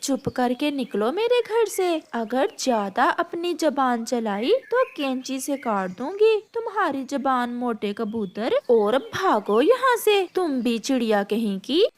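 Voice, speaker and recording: A woman's voice: sits high, big pitch swings, slow pace, few pauses, exaggerated voice. A woman voicing a spiteful, bossy young female crow in a cartoon, scolding and threatening, on a noisy recording.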